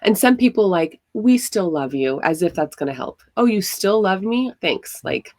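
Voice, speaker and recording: A woman's voice: medium pitch, expressive, normal speed, a little mellow, dry recording. A woman from Hawaii, a podcast guest, telling her own story frankly and casually, with lots of fillers. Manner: frank, conversational, many fillers. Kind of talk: candid personal storytelling.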